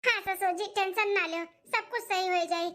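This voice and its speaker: An extremely high, childlike cartoon voice acted out as a village woman of an Indian family comedy. The tone is bright and piercing, with a rustic Awadhi accent from eastern Uttar Pradesh. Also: steady tone, normal speed. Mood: reassuring, dutiful. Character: women of a village family: bossy sister-in-law, meek young bride, mother-in-law.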